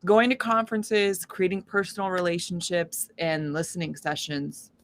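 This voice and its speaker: A woman speaking casually as an interview guest, an independent musician who tells stories in an animated way and acts out quoted dialogue. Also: fairly low pitch, normal speed, slight background noise. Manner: animated storytelling, often acting out quoted dialogue.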